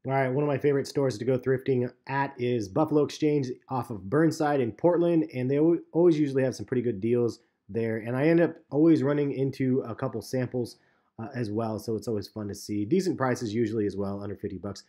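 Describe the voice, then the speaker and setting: A man in his thirties giving a relaxed, chatty sneaker review in a vlog, with plenty of ums and uhs. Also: mid-range voice, a little fast. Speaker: sneaker vlogger. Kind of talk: casual vlog commentary. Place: parked car, and voice-over on store footage.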